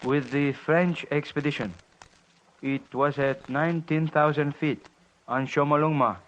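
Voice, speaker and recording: A man playing a solemn, dignified Sherpa mountain guide in a 1950s radio drama, speaking in formal, measured phrases. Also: fairly high pitch, fairly even intonation, natural voice. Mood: earnest, certain. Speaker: actor in a radio play. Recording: lo-fi, noisy 1950s radio recording.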